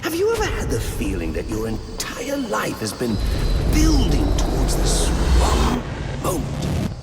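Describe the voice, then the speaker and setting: Manic, wildly swooping clown villain. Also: male voice, a little high, wildly swooping pitch, measured pace, over loud music, heavily acted. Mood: gleeful, brooding. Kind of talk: video game cutscene acting.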